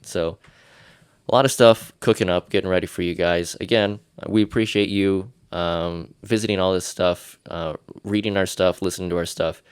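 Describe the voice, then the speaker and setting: A man's voice: low-pitched, fairly even intonation, normal speed, smooth, no echo, clean studio sound. A man hosting a solo podcast, congested from his sinuses, rambling and joking at himself. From Irish. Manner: rambling, self-deprecating, jokey. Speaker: podcast host.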